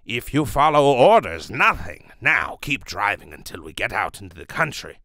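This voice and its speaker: A suave villain acted by a man speaks formally and deliberately, with quiet menace. He is the criminal hypnotist of an old radio serial, recorded close and dry. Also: medium pitch, very animated, no room sound. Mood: smooth menace.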